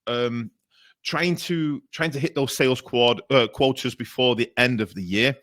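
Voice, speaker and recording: A man hosting a webinar over a video call, chatty and filling pauses with lots of ums and restarts. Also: medium pitch, a bit bright. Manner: chatty, many fillers and restarts. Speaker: webinar host.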